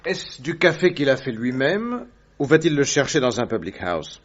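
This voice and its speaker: Man doing a curious detective, lively tone, polite but probing, muffled sound. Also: medium pitch, normal speed. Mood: inquiring. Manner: probing, courteous questioning. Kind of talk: radio drama acting. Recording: dull muffled audio recording.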